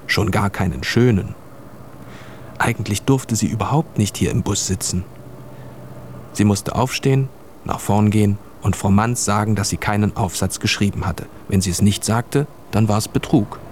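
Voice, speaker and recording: A man's voice: lowish voice, normal speed, darkish tone, a little hiss or hum. A man narrating a scripted children's radio play as a storyteller.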